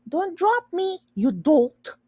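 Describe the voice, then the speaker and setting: A woman's voice: extremely high pitch, sing-song, takes it slowly, darkish tone, dry recording, studio quality, voice pushed up, heavily acted. A woman voicing a tiny, panicky, bossy older brother in a storybook, with snappish urgency. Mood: indignant.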